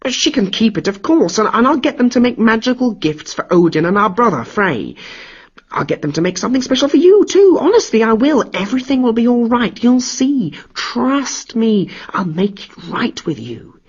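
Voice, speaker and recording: A cowardly trickster god wheedles and whines in a high, pleading voice, as a man acts him out. The husky sound has a dull, lo-fi storybook quality with faint music under it. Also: very animated, normal speed, full character voice. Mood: wheedling.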